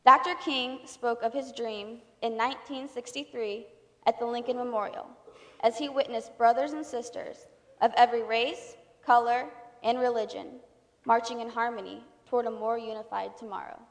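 A woman's voice: a little high, steady tone, normal speed, a bit bright. A woman delivering a scripted formal speech in a solemn, declamatory manner. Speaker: speaker at a convocation. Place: large indoor hall. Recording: reverberant hall.

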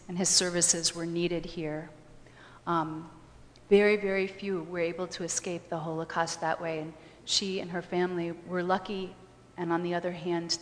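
Female guest lecturer, slightly low voice, informal and explanatory public talk, recorded in a long-reverb hall. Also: slight background noise. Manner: explanatory, storytelling. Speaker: guest speaker, history lecturer. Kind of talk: informal public talk answering audience questions.

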